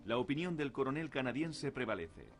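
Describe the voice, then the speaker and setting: A man's voice: medium pitch, animated, a little fast, faint music behind. Man narrating a war documentary.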